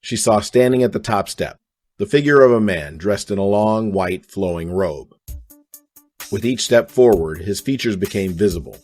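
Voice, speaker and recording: A man's voice: lowish voice, moderate pace, a little mellow, soft background music. A man reading scripted narration for a radio drama.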